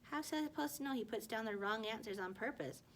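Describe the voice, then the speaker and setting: A woman's voice: on the high side, animated, fast, fairly bright tone, a little hiss or hum. A woman voicing a defensive, exasperated middle-school boy in a children's novel, with a wry, protesting manner.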